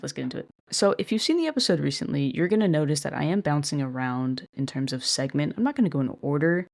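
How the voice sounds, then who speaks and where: A woman hosting her own podcast in casual solo commentary, talking in a chatty, self-deprecating way with a deliberately monotone delivery and some mild swearing. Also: low voice. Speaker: podcast host.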